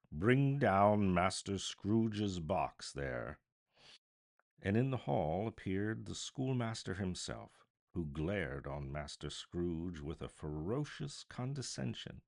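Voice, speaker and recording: A man narrating a classic story as a voice artist, theatrical, deliberate and expressive. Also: deep voice, gravelly. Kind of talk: dramatic literary storybook narration.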